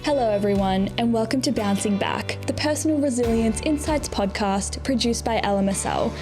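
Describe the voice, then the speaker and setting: A woman's voice: fairly high pitch, moderate pace, music in the background. A woman hosting a podcast in an informal, chatty way. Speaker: podcast host. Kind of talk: casual podcast interviewing.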